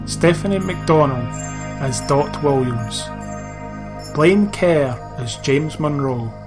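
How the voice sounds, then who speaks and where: A man reads the closing credits in a clear, even announcing voice. His voice sits fairly high. Music plays clearly behind him. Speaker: narrator reading credits. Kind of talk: scripted credits reading.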